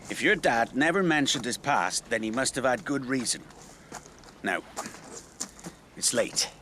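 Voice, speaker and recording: A man's voice: mid-range voice, animated, measured pace, background noise, natural voice. An actor playing a wistful middle-aged British working man, a folksy rambling storyteller in a naturalistic radio drama. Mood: gentle, nostalgic. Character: wistful middle-aged local factory worker, old family friend.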